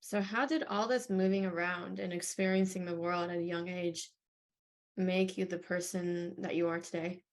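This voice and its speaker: A woman's voice: fairly low pitch, fairly even intonation, normal speed. A woman interviewing a colleague, asking questions in an encouraging, affirming way. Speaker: head of coaching, interviewer.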